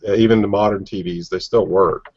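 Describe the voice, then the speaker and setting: Low-voiced man on dull, lo-fi audio. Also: steady tone, a little fast, darkish tone, no room sound. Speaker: collector panelist leading discussion. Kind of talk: casual chat. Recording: dull lo-fi video-call audio.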